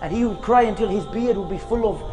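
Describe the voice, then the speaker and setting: A man giving an earnest sermon outdoors in a graveyard, speaking slowly and chanting short recitations. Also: sits high, mellow, in a large hall, faint music behind. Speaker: preacher giving a short reminder.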